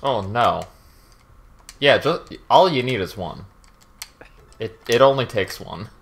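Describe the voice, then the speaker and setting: A man's voice: mid-range voice, lively intonation, slow pace, slight background noise. A man chatting casually as he plays a co-op speedrun at a gaming desk. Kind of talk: casual chat while gaming.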